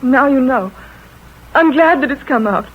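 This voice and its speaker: Defiant young niece; husky voice, thin tinny old radio sound. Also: female voice, high voice, lively intonation, a little slow, exaggerated voice. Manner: tense, defiant.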